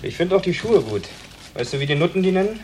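A man's voice: high voice, sing-song, quick pace, a little mellow, a little hiss or hum. A man playing a crude, leering, callous homicide lieutenant in a radio play, talking in a sleazy, taunting way.

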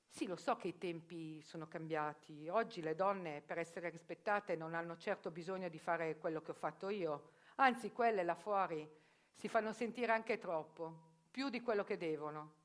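A proud older sworn virgin who has lived as a man for decades, played by a woman speaks in a lowish, steady voice. The telling is stern and matter-of-fact, like testimony in a theatre monologue for radio. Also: close to an everyday voice. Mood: defiant. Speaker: actress performing a theatrical reportage.

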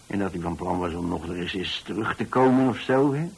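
An old pensioner narrating a radio play. His voice is fairly low and a little husky. He rambles in a folksy way, hesitating and repeating himself as he recounts his life with lodgers. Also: lively intonation, brisk, full character voice. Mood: wistful, puzzled. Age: older. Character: rambling, plain-spoken old pensioner who takes in lodgers. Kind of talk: first-person radio drama storytelling.

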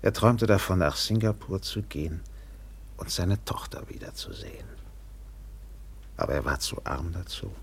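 A reflective ship's captain telling a story in a radio drama. His voice is deep and husky, with wide swings of intonation. The delivery is measured, somber and literary. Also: expressive, whispered, exaggerated voice. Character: reflective male steamship captain recounting a man's decline. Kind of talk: literary radio play narration.

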